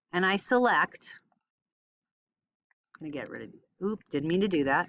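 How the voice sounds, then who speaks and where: A woman teaching a step-by-step software tutorial informally, thinking aloud as she demonstrates. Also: mid-range voice, dry recording. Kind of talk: casual screen-recorded step-by-step tutorial.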